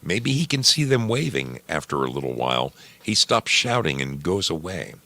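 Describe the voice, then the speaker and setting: A man narrating a scripted story dramatically, with deliberate, suspenseful phrasing. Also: rumbling low, big pitch swings, bright, forceful tone, no echo.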